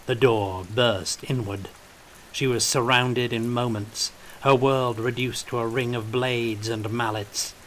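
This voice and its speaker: A man's voice: mid-range voice, steady tone, slow, a bit bright, a little hiss or hum, poor audio. A man reading scripted fiction as an audiobook narrator, in a storytelling style.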